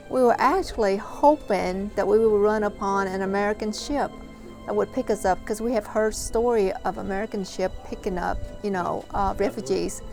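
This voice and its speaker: A woman in her fifties with a Vietnamese accent, telling her own story in a measured, reflective way for a documentary interview. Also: medium pitch, a little mellow. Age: 50s. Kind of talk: personal testimony in a documentary interview.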